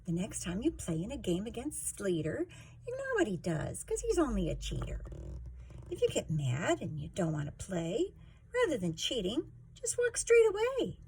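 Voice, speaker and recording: A woman voicing a gentle mother in a children's storybook, soothing and instructive. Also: medium pitch, huge pitch swings, normal speed, slight background noise, voice pushed up. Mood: consoling. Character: gentle, consoling mother giving advice.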